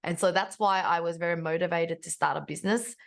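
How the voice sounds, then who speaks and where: A woman in her forties, born in Vietnam and raised in Australia, telling her story chattily and brightly as a podcast guest. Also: lowish voice, steady tone, fast, gravelly. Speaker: guest, business founder. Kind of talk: podcast interview.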